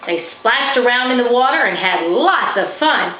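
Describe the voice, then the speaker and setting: A woman's voice: fairly high pitch, animated, normal speed. A woman narrating a children's storybook expressively, as an author reading her own work. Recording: roomy, slightly dull, faintly noisy recording.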